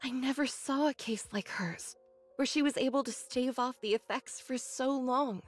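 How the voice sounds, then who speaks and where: An actress playing an earnest, scholarly young android researcher in a video game, speaking in a measured, precise way. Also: female voice, sits high. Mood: regretful, resolute. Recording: dry studio voice, faint game music.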